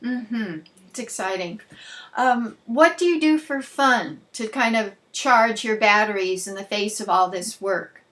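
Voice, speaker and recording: A woman's voice: medium pitch, a little slow, fairly bright tone. A woman, asking questions conversationally as an interviewer.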